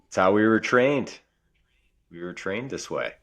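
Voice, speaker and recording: A man co-hosting a podcast about fatherhood, talking in a relaxed, rambling way with frequent restarts. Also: fairly low pitch, unhurried. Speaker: podcast co-host. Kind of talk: casual podcast chat.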